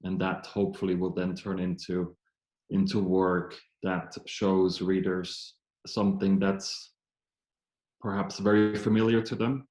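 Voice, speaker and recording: A poet from German-speaking Switzerland with a Swiss German accent speaks in English, hesitant and full of ums and restarts, at a public reading event. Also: male voice, low voice, darkish tone, reverberant.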